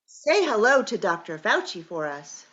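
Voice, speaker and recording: A woman's voice: medium pitch, sing-song, normal speed, a bit bright, lo-fi sound. A woman voicing an earnest, formal alien visitor.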